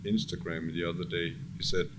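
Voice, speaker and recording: A man's voice: low-pitched, flat delivery, a little fast. A man from Denmark with a Danish accent, talking casually and earnestly as a music producer in an interview, at discursive length with some swearing. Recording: clear background ambient noise.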